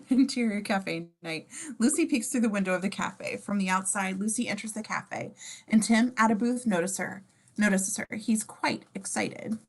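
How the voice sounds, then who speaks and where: A woman's voice: medium pitch, moderate pace. A woman reading a script's scene descriptions at a table read, informal and playful, with casual asides. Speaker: stage-direction reader.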